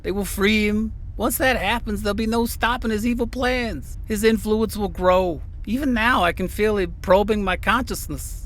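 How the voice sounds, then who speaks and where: A man playing an anguished, accusing city mayor in an audio drama, with a dramatic, halting delivery. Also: high-pitched, fairly bright tone. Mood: anguished, grim.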